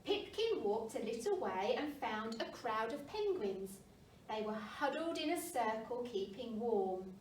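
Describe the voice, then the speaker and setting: A woman reading a children's storybook aloud with expressive narration, as a primary school story reader. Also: on the high side, measured pace. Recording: roomy, distant mic, faint noise.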